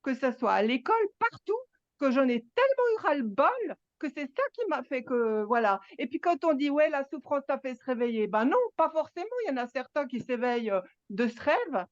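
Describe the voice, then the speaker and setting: A woman, sharing her experience at a spiritual gathering, halting and emphatic, with many restarts. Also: sits high, expressive, no room sound, dull audio. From Switzerland. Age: 60s. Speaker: satsang participant.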